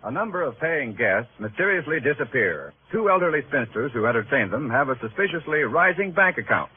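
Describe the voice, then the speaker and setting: A man's voice: mid-range voice, very animated, brisk, breathy edge. A man working as a network radio announcer, reading scripted promos in a vintage 1940s style. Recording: tinny old radio broadcast, faint noise.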